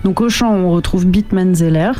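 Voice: medium pitch, normal speed, dark tone. A radio co-host presenting music in a casual, unscripted way, chatty and informal with a hesitant delivery.

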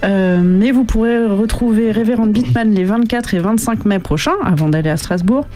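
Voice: medium pitch, normal speed, mellow. A radio co-host presenting music in a casual, unscripted way, chatty and informal with a hesitant delivery.

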